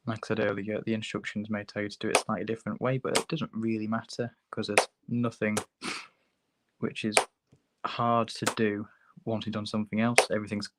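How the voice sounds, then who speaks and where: A man with a hoarse sore throat, hosting a relaxed, chatty livestream tutorial while building a model kit at his workbench. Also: on the low side, fairly quick, heavy background noise. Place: hobby workbench, filmed from overhead.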